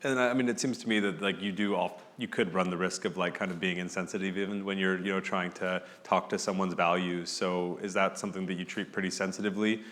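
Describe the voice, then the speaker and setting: A man moderating a talk, with a very deep voice that rings out with a long hall reverb. He builds his questions slowly and hesitantly, padding them with "kind of" and "like.". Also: fairly even intonation, fairly bright tone. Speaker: moderator, magazine editor. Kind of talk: interview questions.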